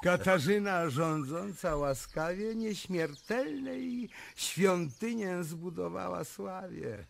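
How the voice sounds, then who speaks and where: Solemn Polish poet, radio drama recitation, creaky, slightly high, declamatory. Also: male voice, animated, takes it slowly, few pauses, a little hiss or hum, full character voice. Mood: ceremonious. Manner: declamatory, ceremonious.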